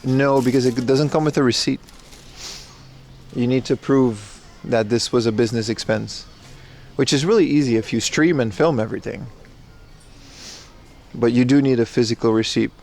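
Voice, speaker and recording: A young man livestreaming as he browses a secondhand shop, chatting excitedly and thanking viewers, recorded on a handheld phone. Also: mid-range voice. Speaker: streamer. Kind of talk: casual IRL livestream chat.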